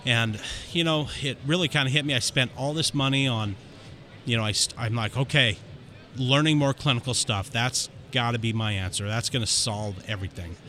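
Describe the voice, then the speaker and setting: A man guest on a podcast, candidly telling his story in an animated way, with quick rushes of speech. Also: medium pitch. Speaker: dentist guest. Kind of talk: casual interview storytelling.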